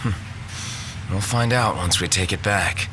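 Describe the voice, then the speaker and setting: A man's voice: low-pitched, a little slow, very noisy. An actor playing a determined young male hero in a video game, speaking in short, casual phrases. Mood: resolved. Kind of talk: video game cutscene acting.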